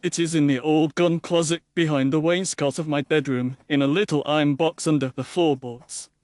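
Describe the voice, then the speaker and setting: A man voicing a fanatical, bitter young gentleman villain, intense and florid in his speech. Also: a little high, steady tone, normal speed, no echo, pitched above the performer's own voice, lightly acted. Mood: confessing.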